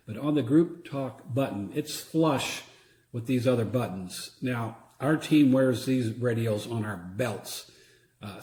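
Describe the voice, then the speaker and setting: Man, medium pitch, casual reviewer, unscripted explanation, halting plain delivery, echoey indoor recording. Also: warm tone. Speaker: product reviewer; church security team member. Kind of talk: casual unscripted product demonstration. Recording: roomy echo.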